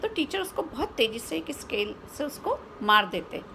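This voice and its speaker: An Indian-accented woman with a high voice tells a story for children in an informal, spoken way. She explains as she goes, posing little questions and dropping in English words. A faint noise sits behind her voice. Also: big pitch swings, moderate pace, vocal fry, sharp tone.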